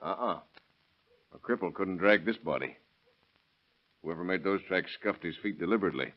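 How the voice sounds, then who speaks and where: An actor playing a shrewd, skeptical lawman, deliberate and matter-of-fact, in 1930s radio drama style. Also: sits low, lively intonation. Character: shrewd, skeptical county lawman investigating a killing. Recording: muffled, noisy vintage radio recording.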